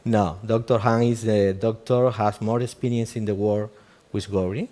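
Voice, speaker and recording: A man with a Cuban Spanish accent, a native Spanish speaker, giving a live demonstration as a doctor in halting English and checking in with "okay?" after almost every step. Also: fairly low pitch, fairly even intonation, dark tone. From Cuba.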